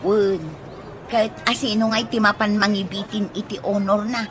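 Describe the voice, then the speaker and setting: A woman's voice: mid-range voice, flat delivery, moderate pace, natural voice. A woman playing a nosy, needling village mother with an Ilocano accent in a radio comedy drama. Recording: noisy radio drama recording, ambient sounds.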